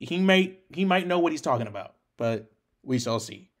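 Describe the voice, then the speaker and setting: A young man reacts casually in a high, very lively voice. He takes his time, talking in slangy, amused asides in a small room at home. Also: slow. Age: young adult. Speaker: anime reaction host.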